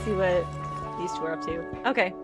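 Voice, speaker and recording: A woman's voice: fairly low pitch, moderate pace, over loud music. A woman streamer giving casual, giggly and reactive live commentary on a game.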